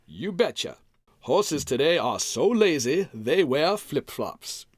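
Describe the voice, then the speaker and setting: A man's voice: on the high side, very animated, slow, no echo, clean studio sound, full character voice. A man playing a folksy, exasperated, wisecracking husband in an old-time radio comedy.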